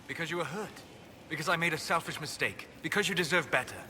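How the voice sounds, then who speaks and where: A man playing a grave, regretful elven mage in a video game, guarded and formal in his measured speech. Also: fairly high pitch, sharp tone, a little hiss or hum. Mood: regretful, restrained. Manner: formal, measured, restrained phrasing. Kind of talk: dramatic video game acting.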